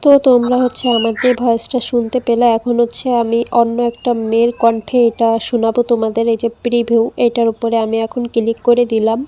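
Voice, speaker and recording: High, even-toned woman giving a tutorial. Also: fast talker, few pauses. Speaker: tech tutorial host. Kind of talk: casual step-by-step screen tutorial narration.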